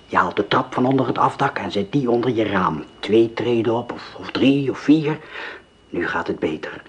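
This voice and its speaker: An actor playing a cold male gang leader in a radio drama, who gives orders in a calm, precise way. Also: mid-range voice, moderate pace, a little hiss or hum, natural voice.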